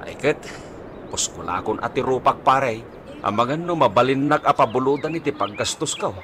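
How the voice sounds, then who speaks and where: A man's voice: mid-range voice, lively intonation, normal speed, background noise, close to an everyday voice. A man playing a hard-up, browbeaten husband in a radio comedy-drama, hesitant and complaining, with an Ilocano accent. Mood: downcast.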